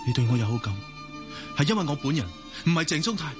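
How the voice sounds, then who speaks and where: A man's voice: a little high, very animated, fast. An actor playing an earnest, insistent young man in love in a radio drama, with a Hong Kong Cantonese accent. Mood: impassioned, insistent. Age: young adult. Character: ardent young man, insistent lovestruck suitor. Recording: music under the voice.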